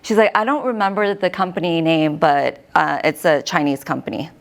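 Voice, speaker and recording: An American woman in her thirties, a host giving a lively personal talk to an audience and often quoting herself. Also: low voice, normal speed, vocal fry. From United States. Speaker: host and keynote speaker.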